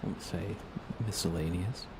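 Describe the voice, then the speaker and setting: A man speaking softly and gently in an unhurried ASMR style while chatting on a live gaming stream, his voice hushed and at times close to a whisper. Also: sits low, fairly even intonation, very noisy. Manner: gentle, unhurried, thinking aloud. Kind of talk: soft-spoken ASMR gaming stream chat.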